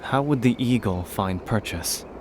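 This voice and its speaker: A man playing a noble, earnest young warrior prince in a video game, speaking in a measured, formal way. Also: lowish voice, noisy background, lightly acted. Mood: questioning. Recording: loud music behind the voice. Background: game music.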